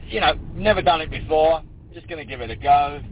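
A man's voice: fairly high pitch, brisk. A man on a two-way radio, chatting casually in a rambling, hesitant way with many fillers. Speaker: radio amateur. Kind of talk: casual chat over the air. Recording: tinny radio channel with noise.

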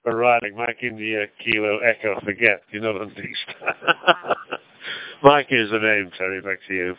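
An older man with a Lancashire accent, an amateur radio operator chatting in an easygoing, halting way over a radio link. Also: on the low side, clean tone, no echo. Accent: Lancashire English. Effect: two-way radio. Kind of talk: casual radio chat with anecdotes and call signs. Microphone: radio transceiver microphone. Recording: phone-like digital radio gateway link.

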